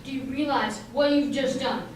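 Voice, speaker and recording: A woman's voice: high-pitched, steady tone, normal speed, a little mellow, lightly acted. A woman acting as a bitter, vengeful male murderer in an amateur radio drama. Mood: bitter, menacing. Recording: very echoey hall, distant mic, faint noise.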